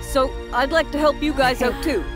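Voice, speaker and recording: A woman's voice: sits high, fairly even intonation, normal speed, music in the background, close to an everyday voice. A woman voicing a friendly young little red spaceship in a children's cartoon, youthful and earnest. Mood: grateful.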